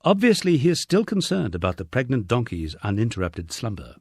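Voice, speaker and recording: A man's voice: mid-range voice, wildly swooping pitch, a little fast, darkish tone, slightly rough, dry recording, studio quality. A man reading a first-person memoir as an audiobook, in a wryly understated storytelling manner. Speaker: audiobook narrator.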